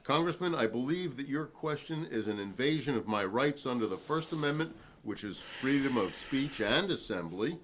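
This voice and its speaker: A man's voice: mid-range voice, animated, normal speed, hardly any pauses, fairly bright tone, slight background noise, lightly acted. A man playing a defiant, principled newspaperman who testifies formally and argumentatively at a 1958 hearing in a dramatic reading. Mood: indignant, firm.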